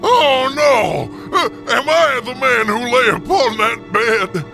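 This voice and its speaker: An old miser in a radio play, overwrought and exclamatory. The voice sits high and swoops widely, with a creaky edge. Every phrase is pushed with full emotional emphasis. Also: male voice, expressive, slow, pushed and bright, soft background music, full character voice. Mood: terrified pleading. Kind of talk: radio theater acting.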